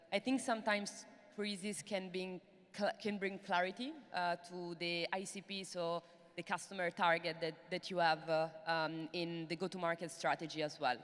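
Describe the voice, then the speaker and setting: A woman in her thirties with an Italian accent, speaking as an investor on a panel with frequent hesitations, on a handheld mic. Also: fairly low pitch. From Italy. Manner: hesitant, many fillers. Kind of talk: panel discussion. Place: large conference hall stage. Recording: long hall reverb.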